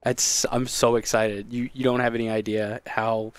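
A man's voice: medium pitch, little pitch movement, normal speed, no room sound. A man, chatting casually and playfully while co-hosting a strategy game stream from a small home room. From Texas, USA. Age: 30s. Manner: playful, hammy, breaks into loud exaggerated bits. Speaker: streamer co-host. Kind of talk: casual gameplay chat.

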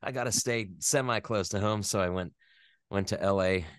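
A young American man from the West Coast talking about his work in a casual interview, rambling and animated, often laughing at himself. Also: on the low side, moderate pace, clean tone, no echo, studio quality. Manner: rambling, animated, self-deprecating. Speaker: voice actor guest.

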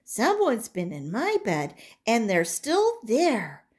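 Woman doing a high squeaky Baby Bear. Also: wildly swooping pitch, takes it slowly, slightly rough, exaggerated voice. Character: small whiny baby bear.

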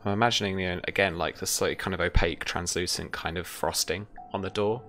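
A young man narrating a tabletop game as game master, in halting, thoughtful phrases, speaking indoors over an online call. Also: sits low, fairly even intonation, a bit bright. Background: faint music.

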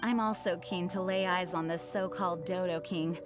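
A woman's voice: fairly low pitch, fairly even intonation, normal speed, natural voice. A woman playing a composed, modest young knight commander in an anime video game, speaking formally and courteously. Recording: music playing behind the voice.

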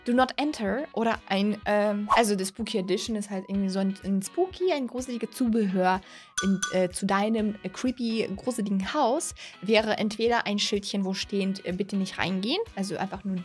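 A woman in her twenties giving casual, excited reaction commentary as a gaming host, exclaiming and repeating herself into a close desk mic. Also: mid-range voice, a little fast, soft background music. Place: small home streaming room, indoors. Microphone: desk condenser microphone, close.

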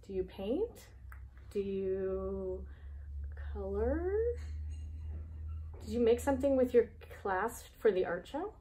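A woman's voice: medium pitch, takes it slowly, long pauses, warm tone, low-quality recording. Woman talking to young children. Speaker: preschool devotion host. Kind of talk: casual chat and reading aloud for young children. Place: small classroom, indoors.

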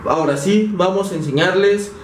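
A man's voice: high voice, moderate pace, very noisy. Young man, Mexican Spanish accent, slangy casual vlog, camera mic at a distance, roomy echo. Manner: slangy, energetic, talks to viewers as "banda". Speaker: vlogger. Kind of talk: casual house tour vlog. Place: small apartment, indoors. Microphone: handheld camera mic. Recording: echoey room, a bit off-mic.

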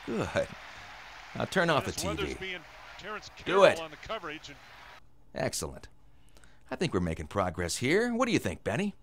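A man's voice: mid-range voice, huge pitch swings, unhurried, pauses often. A man acting in a radio drama as a sardonic, menacing gunman who taunts his hostage. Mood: taunting. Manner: mocking, taunting.

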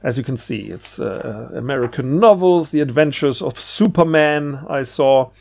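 A man giving a casual, rambling book review in a halting way, often repeating words. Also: mid-range voice, creaky, darkish tone, slight background noise.

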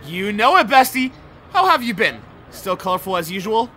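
Casting: a man as teasing young male anime inspector, theatrical, bright and very animated. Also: high-pitched, normal speed, slight background noise, full character voice. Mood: playful. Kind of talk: anime-style fan audio drama acting.

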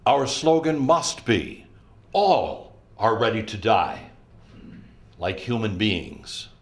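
A stern, rousing resistance leader acted by a man proclaims to the people. The delivery is very slow and emphatic, with a widely moving, declamatory pitch. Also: sing-song. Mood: urgent, defiant. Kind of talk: dramatic proclamation.

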